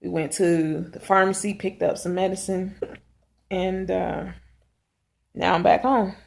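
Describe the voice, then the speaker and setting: A woman with a raspy, hoarse voice and a sore throat talks at home in a casual video diary. She pauses often to clear her throat. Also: lowish voice, steady tone, a little mellow. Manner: halting, frequent throat clearing. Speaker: vlogger.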